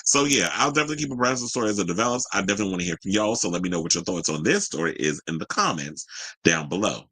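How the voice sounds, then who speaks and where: A man's voice: sits low, lively intonation, racing, bright, forceful tone, husky, no echo, rough recording. A man hosting casual gossip commentary, chatty and candid, with a sassy, colloquial way of talking to his audience. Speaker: host of a commentary show.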